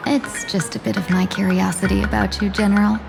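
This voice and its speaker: A woman voicing a composed, sly fox-woman diplomat for a video game, speaking in a measured, polite and coolly self-possessed way. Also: medium pitch, little pitch movement. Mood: calm, probing. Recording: roomy echo under clear background music.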